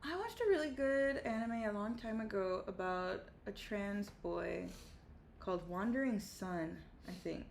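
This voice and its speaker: A woman's voice: medium pitch, lively intonation, unhurried, vocal fry. A woman co-hosting a livestream in casual conversation, laid-back and freely giving her opinions. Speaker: livestream co-host. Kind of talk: casual chat. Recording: faint background noise.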